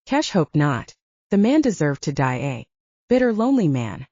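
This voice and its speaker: A woman's voice: sits low, very animated, normal speed, a little mellow, no echo, dull audio. A woman reading a scripted story as an audiobook narrator.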